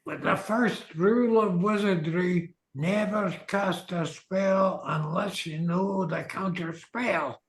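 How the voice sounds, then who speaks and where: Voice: mid-range voice, steady tone, slow pace, hardly any pauses, close to an everyday voice. An actor playing a grumpy, self-important wizard in a comic radio play, impatient and lecturing, in a roomy recording. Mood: grudging, lecturing.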